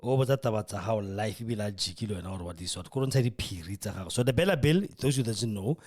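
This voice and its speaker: A South African man whose first language is Setswana, hosting a radio show in casual, animated commentary that mixes English with Setswana. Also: lowish voice, fast. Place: radio studio.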